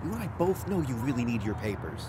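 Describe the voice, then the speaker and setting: Actor as exasperated boyfriend, wildly swinging intonation. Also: male voice, medium pitch, very animated, fast, background noise, natural voice. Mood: defensive. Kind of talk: audio drama acting.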